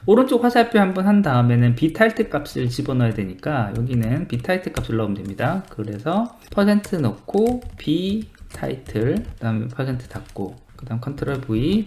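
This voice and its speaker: Lively Korean-tutorial man, wide-ranging pitch. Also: a little hiss or hum. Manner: informal step-by-step thinking aloud. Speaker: software tutorial presenter. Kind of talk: screen-recorded coding tutorial, explaining steps as they are typed.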